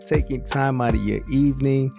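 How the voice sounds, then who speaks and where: A man hosting a conversational interview show, speaking in deliberate, drawn-out phrases with some repetition. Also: mid-range voice, fairly even intonation, creaky, over music. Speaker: show host. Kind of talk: conversational interview, personal introduction.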